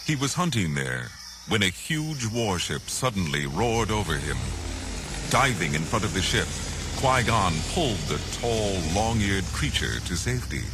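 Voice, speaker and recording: A man reading scripted storybook narration in a solemn, deliberate, authoritative, dramatic manner. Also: low voice, noisy background. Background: music.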